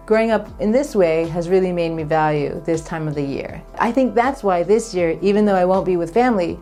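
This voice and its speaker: A woman's voice: on the low side, darkish tone. A woman in her thirties with an American accent, telling personal stories in an upbeat, friendly way as a vlogger. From Japan, raised by American parents. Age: 30s. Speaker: vlogger, channel host. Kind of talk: personal storytelling vlog.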